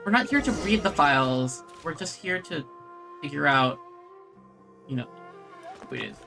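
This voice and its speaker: A woman's voice: low voice, expressive, normal speed, creaky, a bit bright. A woman streaming a video game, giving casual commentary and reading in-game text aloud. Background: game music.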